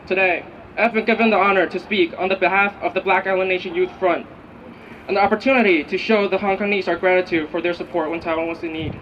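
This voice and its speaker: A man's voice: sits high, steady tone, fast. A man reading a prepared, formal speech as a youth group's speaker at a rally. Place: outdoor city street rally. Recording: distant, dull outdoor recording, faint noise.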